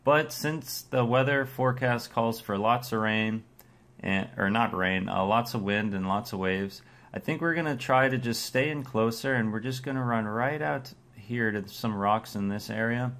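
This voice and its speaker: A man's voice: medium pitch, normal speed. A young man hosting a casual fishing vlog from a small boat, laid-back and laughing often, recorded on an action camera. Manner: laid-back, laughs often, excitable when fish bite. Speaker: fishing channel host. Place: small boat on the open sea. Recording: noisy outdoor boat audio.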